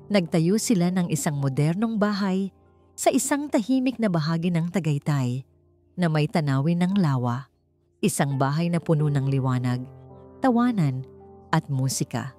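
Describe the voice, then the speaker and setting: A woman's voice: deep voice, warm tone. A woman with a Filipino accent reading a scripted, dramatic romance story as narrator. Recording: music bed under the voice. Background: background music.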